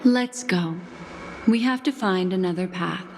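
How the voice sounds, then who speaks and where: A woman voicing a composed, courteous and mysterious guardian in a video game, speaking formally and with gentle deliberation. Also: fairly low pitch, measured pace, slight background noise. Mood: calm, advising. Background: background music.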